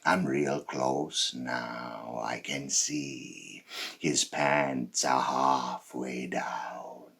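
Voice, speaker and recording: A man performing a dramatic monologue as a cold, boastful psychopathic killer, menacing and deliberate, with dramatic pauses and swells. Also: rumbling low, fairly even intonation, vocal fry, fairly bright tone, breathy edge, heavily acted. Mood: menacing, hushed. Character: cold, boastful male psychopath serial killer telling his story.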